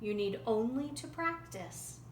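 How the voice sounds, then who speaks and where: A patient desert spirit woman played by a woman storyteller, encouraging and calm in a storybook tale. The words come slowly, in a slightly echoey room. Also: on the high side. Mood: reassuring. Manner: patient, reassuring. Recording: roomy echo, faint background noise.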